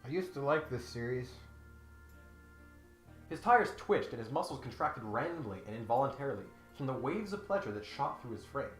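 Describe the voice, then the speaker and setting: A man's voice: mid-range voice, lively intonation, normal speed, roomy sound, soft background music. A man hosting with comic commentary and dramatic reading, in a mock-serious, sarcastic manner. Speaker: host.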